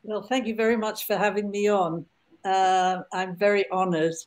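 An older woman answering on a video call panel in a measured, deliberate way. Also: medium pitch, level tone, darkish tone. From Britain. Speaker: organization founder, panelist.

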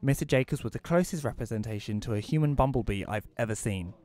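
A man's voice: mid-range voice, fast, a little mellow, no room sound, close to an everyday voice. Man playing a wry, weary recluse. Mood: wry, deadpan. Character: bitter reclusive widower, sardonic narrator Rory. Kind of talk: first-person audio play narration.

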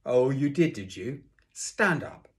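A man's voice: medium pitch, sing-song, normal speed, darkish tone, pitched above the performer's own voice, natural voice. A man voicing a bullying, contemptuous ex-army schoolmaster in a storybook, barking his words with menace. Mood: barking fury. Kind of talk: children's storybook character voice.

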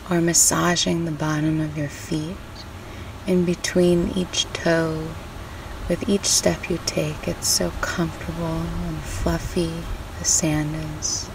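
A woman narrating a guided meditation in a soothing, unhurried, gently instructive way. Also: low voice. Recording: distant mic with faint background noise.

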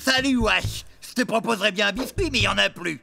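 A woman voicing a hungry, slow-witted male forest predator in a cartoon, with a slow, gullible way of talking. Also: mid-range voice, gravelly, bright, forceful tone, faint music behind. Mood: friendly. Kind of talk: cartoon voice acting.

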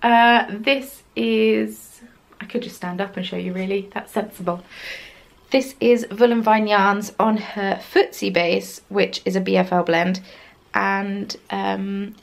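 A woman's voice: medium pitch, gravelly. A young woman hosting a knitting podcast, chatting casually from her craft room in a rambling, self-deprecating way with plenty of ums. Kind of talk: casual chat. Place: small craft room at home, yarn on the wall behind.

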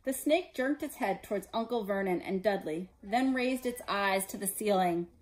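A woman in her forties reading a children's story aloud as an expressive narrator. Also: medium pitch, moderate pace, rough recording. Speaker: storybook reader. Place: living room indoors.

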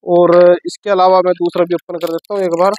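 A man, giving a casual unboxing and sales pitch as a shop presenter, explaining informally and repeating himself. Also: little pitch movement, fairly quick, a little mellow, a little hiss or hum. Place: shop office with sofa, indoors.

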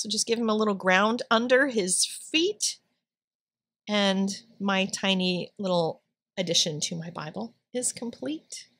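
A woman's voice: mid-range voice, fairly bright tone, no echo. Middle-aged woman host, casual voice-over, chatty storyteller, dead-dry close mic. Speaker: Bible journaling channel host. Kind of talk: casual voice-over while drawing.

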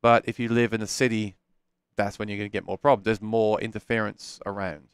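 A man's voice: fairly low pitch, level tone, brisk, clean tone, no room sound. A man in his thirties hosting a casual live stream from a small home studio, talking candidly through a headset mic and answering viewer questions with frequent restarts. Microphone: headset microphone.

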